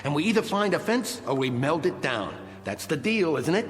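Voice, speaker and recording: A man's voice: fairly high pitch, big pitch swings, normal speed, faint music behind. An actor playing a blunt, sly, greedy crook in a radio drama, talking in terse tough-guy slang. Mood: impatient. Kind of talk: dramatic radio play acting.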